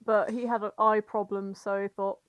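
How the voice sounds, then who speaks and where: A woman's voice: medium pitch, flat delivery, moderate pace, smooth, no room sound. A woman co-hosting a podcast, retelling fan fiction in a giggly, sweary, informal comedic chat. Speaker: podcast co-host.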